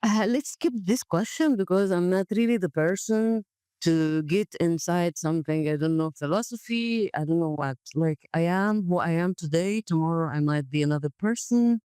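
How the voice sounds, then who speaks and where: A woman with a smooth, clear voice, recorded dry. She talks conversationally as an interview guest, plain and matter-of-fact. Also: fairly low pitch, lively intonation, moderate pace, warm tone, dry recording. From Gaza, Palestine. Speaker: podcast guest, community development worker.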